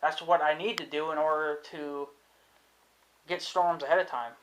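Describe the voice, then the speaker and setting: A man's voice: a little high, fairly even intonation, normal speed, fairly bright tone, a little hiss or hum, rough recording. A young man, thinking aloud in a casual vlog, unsure of himself and swearing freely. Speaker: vlogger. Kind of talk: rambling casual talk to camera.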